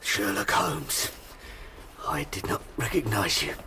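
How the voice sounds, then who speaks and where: A broken Victorian crook in a radio play. The words drag out slowly in a weak, despairing, breathy half-whisper. Clear noise and clicks lie behind. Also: male voice, on the low side, huge pitch swings, exaggerated voice. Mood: dazed, broken. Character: broken, despairing Victorian swindler, weak after near death. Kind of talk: radio drama acting.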